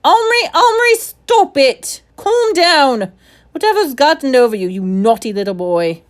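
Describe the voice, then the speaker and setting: A woman voicing a flustered elderly school secretary who scolds in a shrill way. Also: sing-song, drawn-out words, dark tone, exaggerated voice. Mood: scolding.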